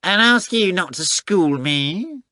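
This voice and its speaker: A boastful tomcat holds forth in a high, bright, drawn-out voice. He is sly and theatrical, stretching each word with mock dignity. The sound is dry and close, like a studio audiobook. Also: lively intonation, dry recording, full character voice. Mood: pompous. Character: boastful talking male cat, sly and theatrical.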